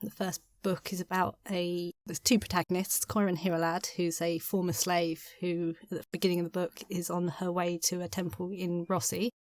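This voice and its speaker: A woman's voice: on the low side, flat delivery, moderate pace, few pauses, darkish tone, no room sound. A woman speaking casually as a guest author in a podcast interview. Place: padded podcast studio.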